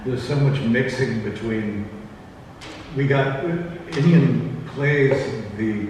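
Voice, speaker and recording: A man's voice: mid-range voice, extremely slow, darkish tone. Distant, very echoey rambling male panelist. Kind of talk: casual convention panel chat. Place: convention panel room. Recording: echoey hall, distant mic, noisy.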